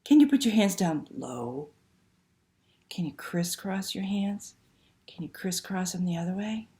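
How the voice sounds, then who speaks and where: A woman's voice: medium pitch, lively intonation, measured pace, warm tone. A woman hosting a library story time, reading aloud and talking to young children with simple questions and prompts.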